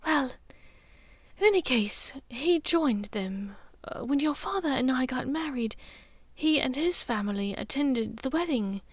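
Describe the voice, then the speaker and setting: Woman voicing a high-pitched, gentle aristocratic mother. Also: animated, unhurried, breathy edge, no room sound, full character voice. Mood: somber, confiding. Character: aristocratic mother, gentle, wistful, confiding old family memories. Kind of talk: dramatized audiobook character dialogue.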